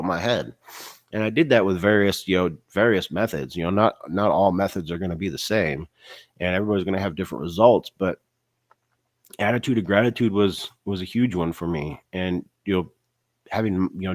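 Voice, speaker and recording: A man's voice: low voice, no room sound, clean studio sound. A man with a cold, sounding stuffy and nasal, giving a casual faith-based motivational talk full of "you know" and "right?" fillers. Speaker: online business vlogger.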